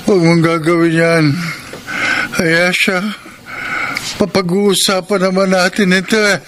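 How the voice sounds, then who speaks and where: A man's voice: sits high, little pitch movement, measured pace, noisy background. An actor playing a selfish young womanizer in a melodramatic radio drama. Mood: panicked, worried. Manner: melodramatic, emphatic. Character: selfish young womanizer, heartless then guilt-stricken. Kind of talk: radio drama acting and confessional narration. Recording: loud music under the voice.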